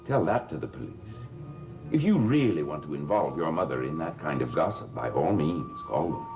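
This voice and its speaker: A man's voice: a bass voice, big pitch swings, normal speed, music in the background, heavily acted. A man playing a suave, sardonic schemer in a theatrical vintage gothic TV soap. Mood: sardonic, guarded. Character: suave, menacing fortune-hunting man of a great house. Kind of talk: 1960s gothic soap opera acting.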